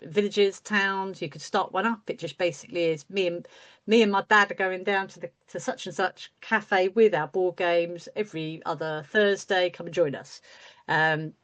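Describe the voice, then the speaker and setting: A woman's voice: lowish voice, steady tone, moderate pace, fairly bright tone. A woman hosting a casual chat over a video call, talking in a friendly, chatty way with lots of fillers. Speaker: host.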